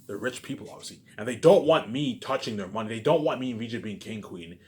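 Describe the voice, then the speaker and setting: A man with a fairly low voice speaks very quickly in a rambling, off-the-cuff monologue to his viewers. He rants with plenty of profanity, circles back over the same phrases, and keeps adding short verbal tags to his sentences. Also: lively intonation, darkish tone, a little hiss or hum. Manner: repetitive, with frequent verbal tags; profane, ranting. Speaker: vlogger.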